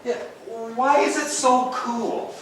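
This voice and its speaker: A man's voice: extremely high pitch, steady tone, takes it slowly, a bit bright, a little hiss or hum, exaggerated voice. A man playing a jealous, sarcastic, grumpy husband in a stage comedy rehearsal, dry and clipped. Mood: irritated. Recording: very echoey room, distant microphone.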